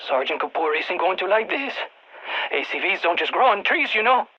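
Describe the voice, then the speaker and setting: An actor playing an anxious, excitable nerdy scientist in a video game, speaking over a radio, expressive and prone to stammering and repeating words. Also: medium pitch, normal speed, breathy edge, dull audio, heavily acted. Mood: panicked. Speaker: field research scientist.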